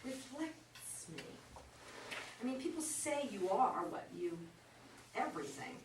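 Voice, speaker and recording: A woman's voice: high-pitched, sing-song, a little slow. A woman playing a flustered, apologetic, puzzled character in a staged play reading. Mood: flustered, defensive. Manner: hesitant, puzzled. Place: echoey room at a live reading. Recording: echoey room, distant mic, faint noise.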